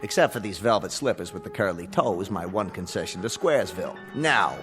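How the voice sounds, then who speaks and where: An actor playing a wisecracking, jaded genie in a radio drama, with slangy, streetwise hipster patter. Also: male voice, low-pitched, lively intonation, brisk. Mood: brash, breezy. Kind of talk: comic radio drama acting. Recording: long reverb, music underneath.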